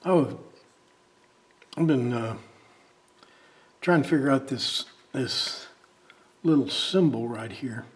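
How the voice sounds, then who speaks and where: A man talking casually and haltingly, thinking aloud as he demonstrates a drawing. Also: medium pitch. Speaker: amateur artist demonstrating a drawing. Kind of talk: casual talk while drawing.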